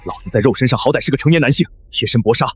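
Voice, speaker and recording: A man voicing a blustering, cowardly foreign schemer in an animated drama, agitated and stilted in phrasing. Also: medium pitch, rapid-fire, very noisy, natural voice. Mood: desperate aggression.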